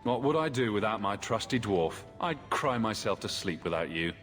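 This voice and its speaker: Low-voiced, sardonic adventurer. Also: male voice, big pitch swings, moderate pace, fairly bright tone, soft background music. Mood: sarcastic. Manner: dry, wry. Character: sardonic heroic adventurer, dry-witted young man. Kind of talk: video game voice acting.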